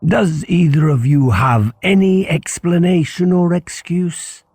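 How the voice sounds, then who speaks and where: Man playing a pompous, stern school principal, pitched up. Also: darkish tone, slightly rough, dry recording, full character voice. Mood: stern, reproving. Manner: pompous, deliberate, lecturing.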